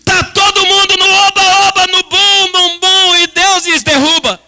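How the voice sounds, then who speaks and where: A Brazilian man preaches an impassioned sermon to his congregation. His voice sits extremely high for a man and is very bright and tense. He speaks emphatically and dramatically, repeating phrases and addressing his listeners directly. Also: level tone, measured pace, creaky. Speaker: pastor preaching. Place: church service.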